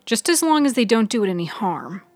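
A woman voicing a weary, frail, troubled woman in a hospital bed, halting and strained, in a story podcast. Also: on the high side, sing-song, a little mellow, no echo, faint music behind, voice pushed up. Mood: urgent. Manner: halting, strained, sometimes harsh. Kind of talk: serial fiction audio storytelling.

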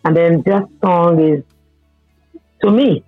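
A woman gospel singer interviewed over the phone, speaking haltingly with hesitant restarts. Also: lowish voice, slightly rough, no room sound. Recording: tinny phone line, faint music.

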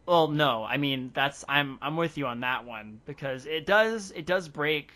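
Podcast host, male, bright and forward tone, loose rambling banter. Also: a little high, expressive, unhurried. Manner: rambling, laughs often. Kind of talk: casual chat.

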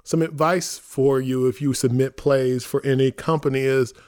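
Man, American accent, mid-range pitch, casual podcast talk, informal, rambling, self-deprecating. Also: fairly even intonation, normal speed, darkish tone. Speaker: artistic director and playwright on a theatre company podcast.

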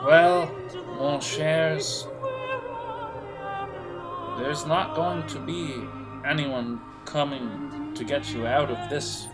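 Man putting on an imperious, high-pitched handler. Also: fairly even intonation, very slow, long pauses, loud music behind the voice. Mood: impatient, scolding. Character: imperious theatrical French handler speaking through phonograph record.

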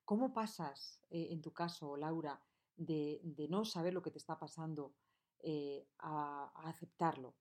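A woman's voice: sits low, a little slow, darkish tone. Woman interviewer asking questions. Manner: asks questions with hesitant rephrasing.

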